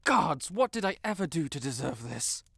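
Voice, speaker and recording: A man's voice: on the high side, sing-song, moderate pace, vocal fry, pushed and bright, husky, no echo, studio quality, full character voice. A man playing a pompous, melodramatic wizard in an audio roleplay, with flowery, theatrical speech and blurted self-corrections. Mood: melodramatic, aggrieved. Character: haughty, melodramatic male wizard, secretly fond tsundere. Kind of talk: scripted audio roleplay to the listener.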